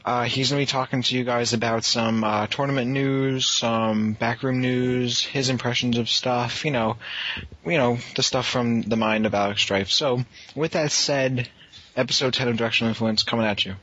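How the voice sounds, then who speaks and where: A man's voice: mid-range voice, steady tone, normal speed, fairly bright tone, no room sound, lo-fi sound. A man hosting a podcast in a casual, conversational style. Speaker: podcast host.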